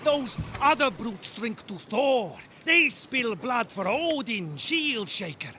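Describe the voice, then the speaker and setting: Eccentric seafarer, very high sharp voice, excitable, theatrical grumbler, game drama. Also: male voice, slow, a little hiss or hum, exaggerated voice. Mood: aggrieved. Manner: theatrical, aggrieved. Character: excitable, grumbling seafarer devoted to sea god. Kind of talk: cinematic game dialogue.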